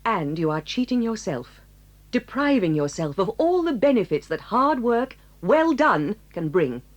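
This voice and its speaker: Stern headmistress, measured, reproving, expressive intonation, audio drama. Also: female voice, medium pitch. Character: stern dignified headmistress, older woman. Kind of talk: 1980s audio drama acting.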